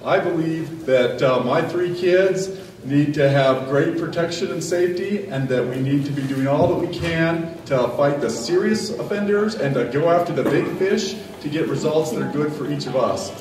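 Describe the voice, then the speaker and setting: A man's voice: a little high, moderate pace, a little mellow. A man giving a confident, polished campaign speech to delegates as a candidate for county attorney. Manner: confident, polished, self-promoting. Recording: echoey room from far off, faint noise.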